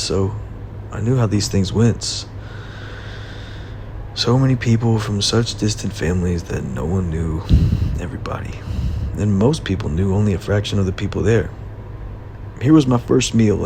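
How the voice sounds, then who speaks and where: Male actor as uneasy young graduate in hiding, audio drama confession; creaky voice, halting and confiding, clear road noise behind. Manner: confiding, halting, uneasy, breaking into hushed asides. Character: anxious young male college graduate on the run, recording a confession. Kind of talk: first-person audio drama monologue. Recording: noisy, steady vehicle rumble behind the voice.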